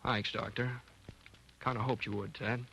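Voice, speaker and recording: An actor with an American accent playing a troubled, lovelorn, guilt-ridden doctor in an old radio drama, hesitant and stammering. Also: male voice, on the low side, level tone, moderate pace, vocal fry. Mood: hesitant, weary. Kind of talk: 1940s-50s radio drama acting.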